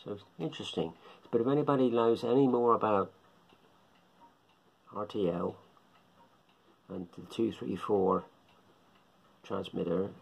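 A middle-aged man rambles and muses aloud, repeating himself as he talks casually to a close phone camera. His voice is very low, gravelly and husky. Clocks tick in the small living room behind him. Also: a little slow, long pauses. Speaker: shortwave radio hobbyist vlogger. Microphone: phone or webcam at close range.

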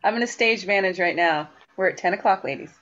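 A woman's voice: mid-range voice, fast, a bit bright. An enthusiastic, gushing woman chatting casually over a video call. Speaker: stage manager. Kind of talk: casual chat. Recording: slightly dull video-call audio.

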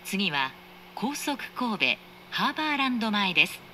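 A woman's voice: mid-range voice, very animated, moderate pace, bright, forceful tone. A woman reading scripted train announcements in a polite, formal manner, as heard inside a carriage. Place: train carriage speaker, train ambience behind. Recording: faint train noise, recorded inside carriage.

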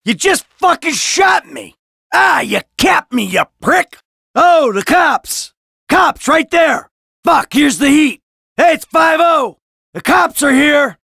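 A man's voice: very high voice, expressive, slow, vocal fry, sharp tone, no room sound, shouting, studio quality, heavily acted. A man voicing a cynical, brash middle-aged American criminal in a video game, sarcastic and foul-mouthed. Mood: shouting, alarmed. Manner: sardonic, brash, swearing.

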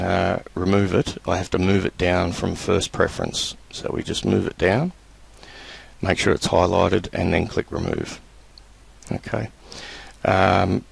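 A man presenting a screen-recorded computer tutorial, talking in a relaxed, halting way with many fillers. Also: low-pitched, vocal fry, husky.

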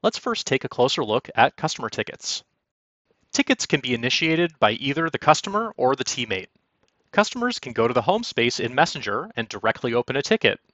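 A man presenting a software walkthrough as polished, instructional scripted narration. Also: mid-range voice, no echo, muffled recording. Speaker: product demo presenter. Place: quiet, dry room, recorded as voice-over.

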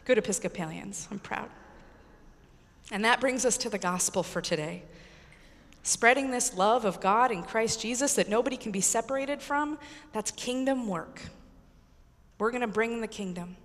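A middle-aged American woman priest giving an earnest, emphatic sermon with deliberate pauses and repetition. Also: on the high side. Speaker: Episcopal priest preaching. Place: large church with congregation, indoors. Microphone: pulpit microphone. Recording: echoey church hall reverb.

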